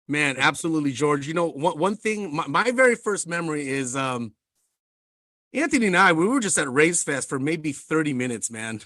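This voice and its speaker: A man co-hosting a podcast, talking in an energetic, casual way full of "man" and "like". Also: a little high, moderate pace, dry recording. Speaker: podcast co-host. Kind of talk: casual interview chat.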